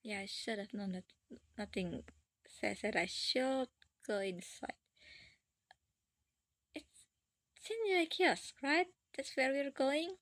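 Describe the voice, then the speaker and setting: A woman's voice: sits high, expressive, takes it slowly, pauses often, no room sound. A woman casually commenting while she plays a video game, musing to herself. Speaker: gamer. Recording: voice over loud game music.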